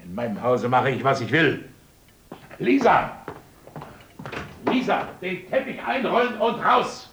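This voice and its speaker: An actor playing a bitter, domineering village miller who barks orders in a gruff, scornful way. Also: male voice, on the high side, expressive, measured pace, pauses often, fairly bright tone, a little hiss or hum. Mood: bossy, bitter. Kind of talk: radio play acting.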